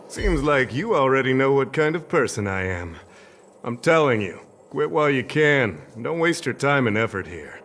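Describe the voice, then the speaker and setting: A man's voice: mid-range voice, sing-song, moderate pace, a bit bright, slight background noise. A man playing a vain, pompous professor in a video game, speaking dramatically and evasively. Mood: dismissive. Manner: pompous, dramatic, stammering when flustered. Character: vain, theatrical male professor, evasive and easily flustered.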